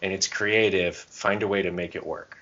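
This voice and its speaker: A man with a very deep voice chats informally as a podcast host. He talks conversationally and draws his co-host in. The recording is somewhat dull and lo-fi. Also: fairly even intonation, moderate pace, pushed and bright. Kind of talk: casual podcast chat.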